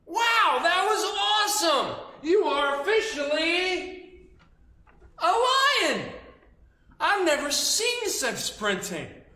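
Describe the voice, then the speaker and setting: A man voicing a big, enthusiastic male lion instructor in a children's story, praising with drawn-out emphasis. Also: very high voice, very animated, sharp tone, roomy sound, voice pushed up, full character voice. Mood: thrilled praise.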